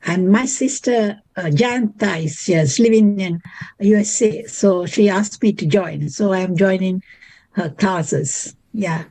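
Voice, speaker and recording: A woman taking part in an online class, chatting casually with hesitant, halting phrasing. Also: mid-range voice, warm tone, no echo. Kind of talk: casual chat. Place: living in London, joining remotely. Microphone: video call.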